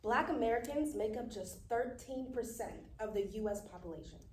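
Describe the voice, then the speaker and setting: An actress playing a determined young activist podcast host, speaking earnestly and deliberately in a live table read. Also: female voice, on the high side, natural voice. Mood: earnest, resolute. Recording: echoey room, distant mic, faint noise.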